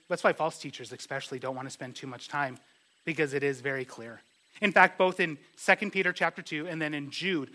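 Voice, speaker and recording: A middle-aged man, a pastor, preaching an expository sermon in an earnest, conversational way, slowing down to stress key phrases. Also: on the high side, pushed and bright.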